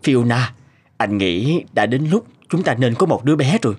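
Earnest cartoon husband , played by a man, mid-pitched. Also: expressive, moderate pace, natural voice. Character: earnest, accommodating husband in his prime. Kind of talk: Vietnamese cartoon voice acting. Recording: music behind the voice.

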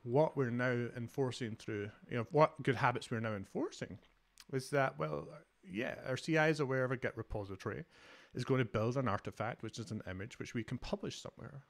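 A man's voice: medium pitch, a little fast, vocal fry. A Scottish man from Glasgow in his thirties, giving an enthusiastic, conversational conference talk over a video call. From Scotland. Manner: enthusiastic, conversational, many fillers. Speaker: conference speaker, developer advocate.

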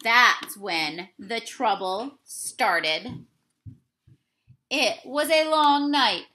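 A woman's voice: on the high side, expressive, sharp tone, poor audio. A young woman reading a children's storybook aloud expressively, speaking to young listeners like a teacher. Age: young adult. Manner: deliberate, addresses young listeners with questions. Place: small indoor room.

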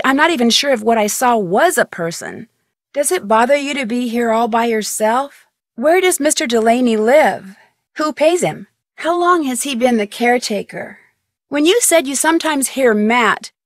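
Young female sleuth for adventure game: inquisitive, polite, slightly high voice, dry studio sound. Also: no room sound. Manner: inquisitive, clear-spoken questioning. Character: curious, polite young female amateur detective. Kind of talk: video game dialogue.

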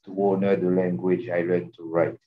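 A middle-aged man with a Haitian accent, whose first language is Haitian Creole, giving a slow and measured poetry reading over a video call. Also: low-pitched, little pitch movement. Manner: slow, measured, soft-spoken. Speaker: poet reading. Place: large room with seated audience. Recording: muffled echoey room audio.

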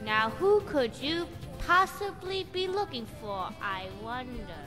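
A woman's voice: high voice, big pitch swings, slow, full character voice. A woman voicing a flirtatious, playfully coy tavern woman in a fantasy game. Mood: coy, teasing. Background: music.

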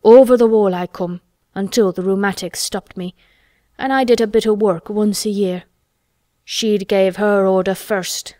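A woman's voice: medium pitch, darkish tone, no echo, close to an everyday voice. Woman voicing a crabbed old Yorkshire gardener man. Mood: slow, obstinate. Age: older. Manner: dry, slow, gruffly obstinate.

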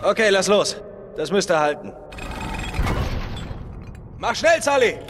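An actor playing a cocky, quick-witted younger male adventurer, casual and teasing, in a dubbed action video game. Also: sits high, very animated, noisy background, exaggerated voice. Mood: focused, eager. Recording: long cave-like reverb, faint music.

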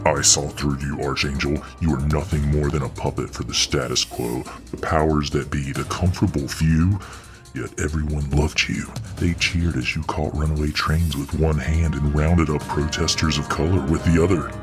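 An extremely low, rumbling supervillain, acted by a man, speaks over clear background music. He is huge, domineering and righteous, taunting deliberately and with contempt in an audio drama monologue. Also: fairly even intonation. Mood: boastful, scornful. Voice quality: very deep voice. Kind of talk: ASMR audio role-play acting.

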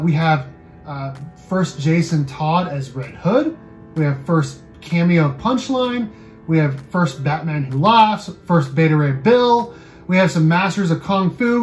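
A man's voice: high-pitched, darkish tone. An American man in his thirties hosting a casual video, running through items for sale in a room at home. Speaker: YouTube channel host. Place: small room at home, indoors.

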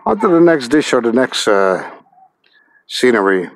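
A man's voice: mid-range voice, very animated, a little slow. A man hosting his own vlog from a church event, chatting, laughing and urging viewers to subscribe. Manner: chatty, laughing, repeats "of course". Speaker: vlogger host. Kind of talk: casual vlog chat. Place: church banquet hall, then outdoors at night. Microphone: handheld phone camera.

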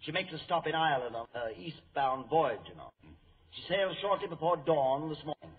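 Anxious gentleman, animated pitch. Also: brisk, slightly rough, heavily acted. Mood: grave. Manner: earnest, formal, dramatic. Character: grave, anxious gentleman insurance chairman. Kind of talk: 1940s radio drama acting. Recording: tinny, hissy old radio recording.

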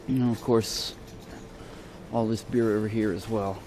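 A man's voice: on the low side, steady tone, a little slow, a little mellow, rough recording. A man giving a casual shopping tour as a vlogger, offhand and hesitant, trailing off in fragments, recorded on a handheld camera in a large supermarket. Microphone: handheld camera from behind it. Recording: clear store ambience noise.

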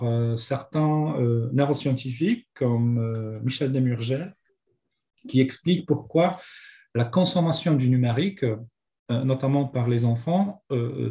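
A man's voice: medium pitch, mellow. A man with a Polish accent and a cold, giving a hesitant academic lecture over a video call, with many fillers. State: has a cold. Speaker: seminar speaker. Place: home office on video call.

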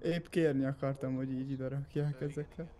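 A man's voice: medium pitch, fairly even intonation, mellow. A man chatting casually while playing an online roleplay game. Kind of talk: casual gaming chat. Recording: game voice chat, faint background noise.

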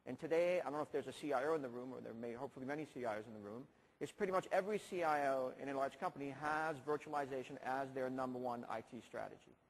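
A man in his forties giving a conference keynote on stage, explaining technical products with frequent restarts, on a lapel mic. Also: a little high. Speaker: keynote speaker. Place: large conference hall stage. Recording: reverberant conference hall.

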